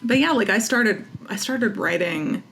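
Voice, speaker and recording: A woman's voice: on the high side, expressive, a little slow, vocal fry, a bit bright, a little hiss or hum. A woman chatting casually as an interview guest, with a wry, self-deprecating way of talking. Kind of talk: casual chat.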